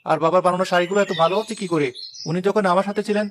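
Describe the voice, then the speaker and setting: Man acting as headstrong young weaver son, high voice, rapid emphatic delivery, village cartoon drama. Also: steady tone, a little hiss or hum, natural voice. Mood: troubled. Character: proud, hot-headed young weaver son, later remorseful. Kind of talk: Bengali moral-story cartoon acting.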